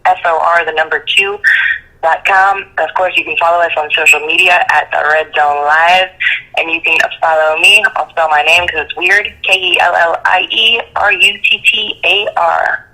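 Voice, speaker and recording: A woman with a strikingly bright, forward voice speaks as a sports podcast guest. She is upbeat, articulate and playful, with the polish of a co-host plugging a show. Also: lowish voice, steady tone, moderate pace, low-quality recording. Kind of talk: upbeat promotional chat.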